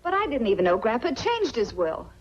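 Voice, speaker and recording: A defiant young heroine of a 1940s thriller. Her earnest, indignant voice is fairly high and very animated, on a dull old film track. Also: fairly quick. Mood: shocked indignation. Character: proud, defiant wrongly accused young woman. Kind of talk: 1940s film noir acting. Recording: dull, faintly noisy 1940s film soundtrack.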